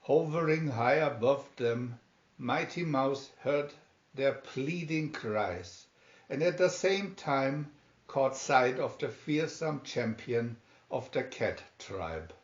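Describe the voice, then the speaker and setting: A man reading a children's adventure story aloud as scripted narration, with dramatic, deliberate, emphatic phrasing. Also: mid-range voice, expressive. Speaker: reader of children's picture booklets. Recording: dull, echoey home recording.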